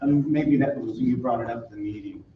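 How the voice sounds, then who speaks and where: A man's voice: mid-range voice, brisk, distant mic, noisy background. A man chairing an informal community meeting in an agreeable, attentive way. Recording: echoey, muffled room with crowd murmur.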